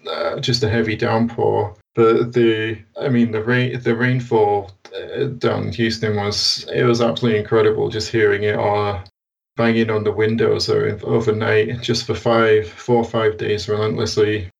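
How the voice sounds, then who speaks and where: The British guest on an interview podcast, a man picking his words carefully in a creaky voice, sitting a bit back from his microphone. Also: lowish voice, little pitch movement, normal speed, far from the mic, dull audio. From United Kingdom. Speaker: guest, science blogger. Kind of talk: conversational interview answers.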